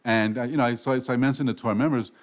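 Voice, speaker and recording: A man's voice: medium pitch, steady tone, rapid-fire. A man hosting a casual radio interview show, rambling with frequent restarts and self-corrections. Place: radio studio.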